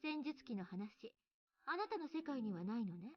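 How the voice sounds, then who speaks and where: A woman's voice: fairly high pitch, sing-song, quick pace, lo-fi sound. An actress playing a cool, condescending, teasing woman in a Japanese sci-fi visual novel, speaking matter-of-factly. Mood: curious. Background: game music.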